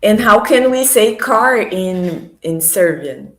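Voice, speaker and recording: A woman from Brazil, speaking with a Brazilian Portuguese accent, gives a language lesson in English. She talks slowly and stops to hunt for English words. Her voice sits slightly low and carries room echo. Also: expressive. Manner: hesitant, searching for English words. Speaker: language tutor.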